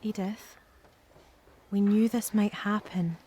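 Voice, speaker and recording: A woman playing a calm, efficient secretary with a practical, soothing manner in an audio drama. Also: medium pitch, slow pace, husky. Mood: reassuring. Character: calm, efficient, discreet female secretary, loyal and reassuring.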